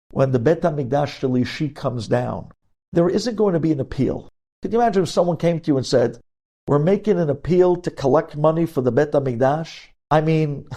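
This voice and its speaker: A man's voice: fairly high pitch, dark tone. A man speaking as a rabbi giving a religious lesson, explaining emphatically and repeating key phrases. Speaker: rabbi teaching religious law. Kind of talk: religious lesson with hypothetical examples.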